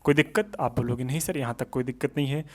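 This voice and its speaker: A man's voice: medium pitch, fast talker. A man lecturing as an exam coaching teacher, repeating points as rhetorical questions and mixing in English technical terms. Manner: switches between Hindi and English technical terms.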